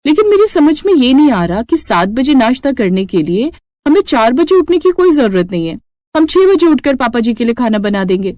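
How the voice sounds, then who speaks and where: A woman's voice: sits high, big pitch swings, moderate pace, dark tone, no echo, studio quality, voice pushed up. A woman voicing a young newlywed daughter-in-law, questioning and put-upon, in a cartoon moral story. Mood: puzzled.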